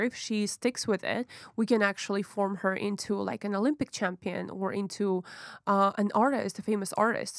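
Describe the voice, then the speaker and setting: A young Russian woman, a psychologist and podcast host, telling her life story in an open, unhurried way with frequent fillers. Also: medium pitch, dry recording, clean studio sound.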